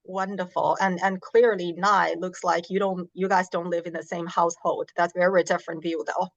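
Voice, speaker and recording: A woman hosts a career webinar over a video call. Her voice sits low, and the audio is dry and muffled. She talks in a friendly, chatty way with some hesitations. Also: flat delivery, normal speed, creaky, dry recording. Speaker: moderator.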